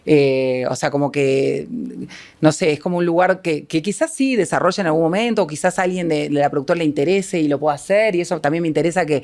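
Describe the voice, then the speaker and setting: A woman with a Uruguayan accent who works as a film producer, answering as a panel guest in a halting, self-deprecating way as she thinks aloud. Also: low voice, darkish tone.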